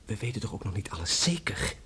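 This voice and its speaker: An actor playing a kind, dutiful young village man in a radio play, speaking earnestly with a husky voice. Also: lowish voice, very animated, fast, bright, forceful tone, whispered, heavily acted. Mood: earnest, resolved. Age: young adult.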